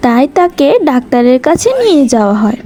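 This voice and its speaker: A woman's voice: sits high, lively intonation, a little fast, warm tone, slight background noise. A woman reading scripted narration for a cartoon story as its storyteller.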